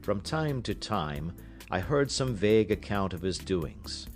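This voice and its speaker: A man narrating an audiobook in an expressive literary style, with measured, careful phrasing. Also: on the low side, faint music behind.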